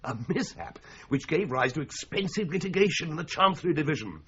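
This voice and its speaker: An actor playing a breezy, flippant solicitor in a radio drama, English accent, with a droll, mock-pompous and wordy manner. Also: male voice, a little high, big pitch swings, a little fast, bright, forceful tone, breathy edge, dull audio, exaggerated voice. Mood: drily instructive. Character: breezy, flippant, theatrical solicitor in a stuffy London office. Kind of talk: 1980s radio drama acting.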